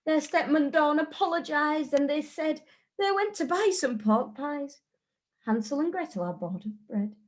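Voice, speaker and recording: A woman reading a rhyming children's story in a deliberate, sing-song way, as a teacher would at story time. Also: high-pitched, lively intonation.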